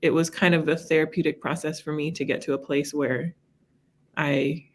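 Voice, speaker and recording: Bubbly low-voiced woman guest. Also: fairly even intonation. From Los Angeles. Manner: bubbly and self-mocking, quick laughs. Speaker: podcast guest, therapist. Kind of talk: casual chat.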